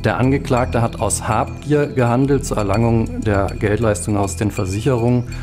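A man in his forties giving formal, measured legal statements as a court spokesman. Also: medium pitch, steady tone, music in the background. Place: courtroom, indoors.

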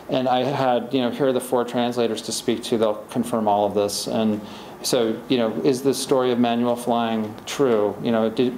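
A man's voice: mid-range voice, darkish tone. Middle-aged man answering questions, roomy hall. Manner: rambling, many restarts and fillers. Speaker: author at a library talk. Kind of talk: audience Q&A, conversational. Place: library event room, indoors. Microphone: podium microphone, far camera. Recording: roomy hall echo, faint hiss.

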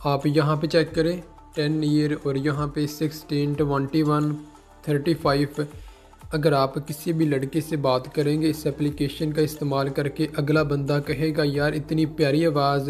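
A man's voice: on the high side, a little mellow. A man giving an informal app tutorial voice-over, instructing the viewer directly step by step and repeating himself. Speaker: host of a tech tutorial channel.

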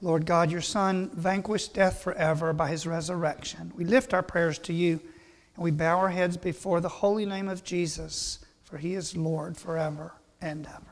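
A man with a slightly raised pitch recites liturgical prayers in a steady, measured way. His voice carries the long reverb of a large church. It sounds like a priest leading a service. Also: a little hiss or hum.

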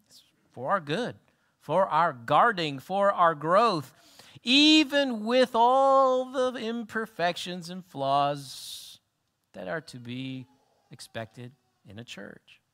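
A middle-aged man preaching a sermon at a lectern in a church, earnest and swinging between soft low passages and emphatic rises. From New Zealand. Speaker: pastor. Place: church hall with wooden wall.

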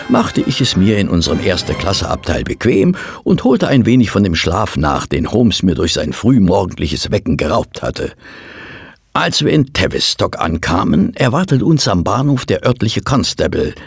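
A gentleman companion recounting a detective case in an audio drama. He is composed and measured, yet his low, husky voice moves widely in pitch. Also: no room sound, clean studio sound, heavily acted. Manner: measured storytelling. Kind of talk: scripted audio drama narration.

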